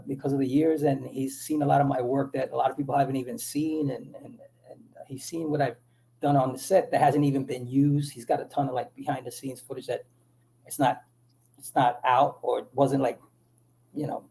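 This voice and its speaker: Gravelly, mellow-voiced man telling stories. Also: mid-range voice, a little fast, rough recording. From Dominican Republic. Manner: animated storytelling, many restarts. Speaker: actor guest. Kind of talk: casual interview talk.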